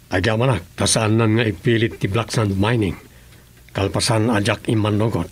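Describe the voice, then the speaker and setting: A man playing a serious, firm provincial official in a radio drama, with a rough-edged, earnest voice. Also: on the low side, fairly even intonation, normal speed.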